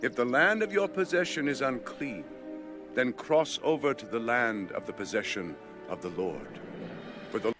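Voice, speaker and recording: A man's voice: mid-range voice, lively intonation, moderate pace, fairly bright tone, soft background music, lightly acted. A man voicing a stern priestly envoy in a dramatized audio Bible, speaking in a grave, accusing, declamatory way. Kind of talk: dramatized scripture reading.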